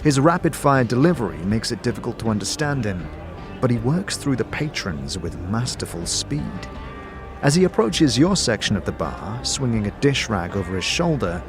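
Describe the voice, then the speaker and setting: A man narrating a fantasy tale in the second person as game master, in an expressive storytelling manner. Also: mid-range voice, normal speed. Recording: music under the voice.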